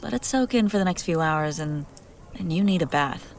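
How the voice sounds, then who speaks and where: Woman acting as female bounty hunter, lower-pitched, sardonic, teasing, gruffly caring, fantasy audio roleplay, faint cricket ambience. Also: expressive, moderate pace, a little hiss or hum. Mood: brusque, guarded. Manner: sarcastic, teasing, often dropping to hushed urgency. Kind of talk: scripted audio roleplay addressed to the listener.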